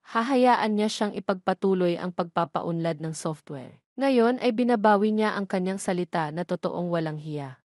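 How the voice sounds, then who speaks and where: Woman, Filipino accent, story narrator, scripted audio drama delivery, medium pitch, dry recording. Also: a little mellow, dry recording.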